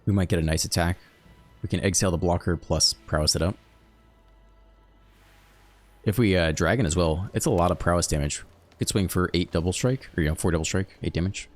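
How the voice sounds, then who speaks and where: A man hosting a card game video, thinking aloud in a relaxed, chatty way as he plays. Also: sits low, brisk, pauses often, soft background music. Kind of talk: casual live gameplay commentary.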